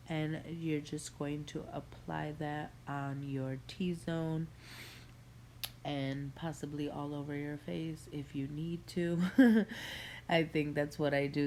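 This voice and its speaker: A young woman with a stuffy nose from a cold, chatting relaxed to viewers as she walks through a makeup tutorial at home. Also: low-pitched, a little hiss or hum. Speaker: beauty vlogger. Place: home room with a Christmas tree.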